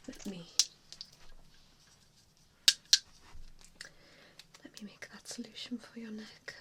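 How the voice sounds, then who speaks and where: Slow, whispering vampire nurse, played by a woman. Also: mid-range voice, lightly acted. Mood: focused, gentle. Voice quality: soft breathy whisper. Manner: reassuring, hesitant, apologetic. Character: nice, apologetic female vampire tending a newly bitten patient. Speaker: ASMR roleplay performer. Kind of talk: whispered ASMR medical roleplay.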